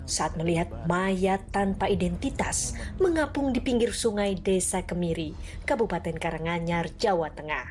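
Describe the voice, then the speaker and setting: A woman's voice: on the low side, normal speed, noisy background. A woman with an Indonesian accent reading scripted crime report narration. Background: music.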